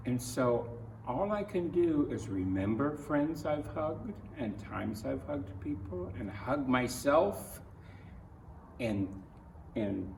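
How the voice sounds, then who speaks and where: A man from Ohio with an American accent reads a picture book aloud to children, adding his own earnest, gentle comments in halting phrases. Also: mid-range voice, gravelly. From Ohio, United States. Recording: roomy echo, distant mic, faint noise.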